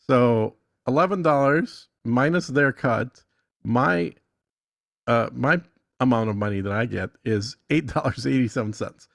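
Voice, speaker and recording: A man with a gravelly voice giving a casual screen-share tutorial as a podcast guest, speaking bluntly and quick to correct. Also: medium pitch, a bit bright, dry recording, studio quality. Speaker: podcast guest explaining music monetization.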